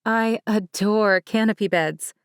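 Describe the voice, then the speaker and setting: A woman's voice: mid-range voice, takes it slowly, clear, resonant tone, dry recording, studio quality, pitched above the performer's own voice. A woman voicing a direct, earnest young wedding planner who was once an agent.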